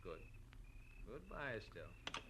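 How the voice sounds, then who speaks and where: An actor playing a smug, slick American blackmailer with a condescending, menacing manner in a 1940s radio crime drama. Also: male voice, on the low side, very animated, a little slow, exaggerated voice. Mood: smug menace. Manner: smooth-talking, smug, condescending. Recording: noisy vintage radio drama recording.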